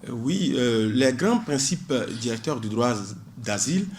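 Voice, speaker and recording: A man's voice: mid-range voice, lively intonation, measured pace, a little mellow, a little hiss or hum. A man, a doctoral student in public law, explaining asylum law on a radio show with many hesitations and cited articles. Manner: hesitant, many fillers, cites legal articles.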